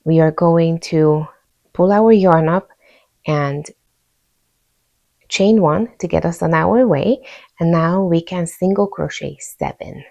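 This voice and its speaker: A woman with a Hungarian accent guides listeners through a craft tutorial. She speaks very slowly, in a soft-edged, mellow tone with a fairly low pitch. Her delivery is informal and easygoing, like a patient demonstration done step by step. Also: vocal fry. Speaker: host of a crochet tutorial channel.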